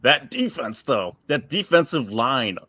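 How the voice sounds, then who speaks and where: Man, sports podcast co-host, bright forceful voice, opinionated, casual banter, dull close recording. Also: mid-range voice, wildly swooping pitch, a little slow, vocal fry, husky, no echo.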